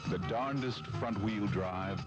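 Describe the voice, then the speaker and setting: Low, unhurried man over loud guitar music. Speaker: commercial voice. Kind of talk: scripted 1970s radio car jingle-style ad. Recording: dull old radio spot, loud guitar music.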